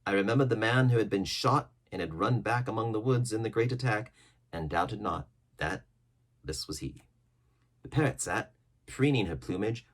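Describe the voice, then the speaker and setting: A man narrates an audiobook in a low, slightly husky voice. His reading is dramatic and very animated, with deliberate phrasing. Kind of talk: dramatic scripted storybook narration.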